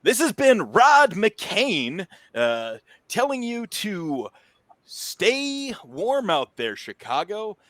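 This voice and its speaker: A man voicing a smooth late-night radio DJ, heard as if over the radio, with a slow, drawn-out sign-off. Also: high voice, huge pitch swings, fairly bright tone, dry recording, voice pushed up, heavily acted. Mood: laid-back.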